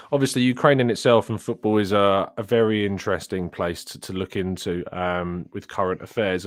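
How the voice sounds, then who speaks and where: A man's voice: lowish voice, dry recording, clean studio sound. A man hosting a football show, speaking in a chatty, conversational presenting style to his viewers and guest.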